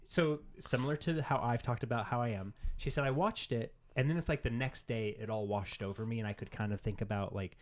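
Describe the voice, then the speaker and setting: A man's voice: medium pitch, brisk, hardly any pauses. A man co-hosting a podcast in casual, rambling chat, often dropping "like" into his sentences. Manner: rambling, frequently says "like". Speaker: podcast co-host. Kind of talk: casual chat about games and pop culture.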